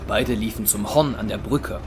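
A man's voice: lowish voice, normal speed, background noise, lightly acted. A man narrating an audio drama in a dramatic, scripted storytelling style. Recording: loud music behind the voice.

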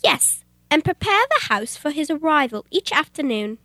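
An obedient housemaid from old-time radio theater played by a young woman. Her high voice is bright and swings about in pitch, yet she stays matter-of-fact and dutiful. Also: sing-song, normal speed, no room sound, heavily acted. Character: dutiful, simple housemaid who lives in a closet.

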